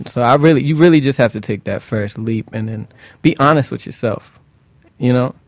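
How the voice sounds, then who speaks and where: A man's voice: medium pitch, moderate pace, no room sound. An American man chatting casually as an interview guest, earnest and reflective, often checking that he is understood. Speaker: guest singer and musician.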